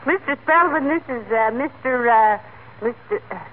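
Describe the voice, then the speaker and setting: An actress playing a wry, teasing housewife with a homey manner in a 1940s radio comedy. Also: female voice, high voice, moderate pace, phone-quality sound, lightly acted. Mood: teasing, friendly. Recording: scratchy, very noisy 1940s radio recording.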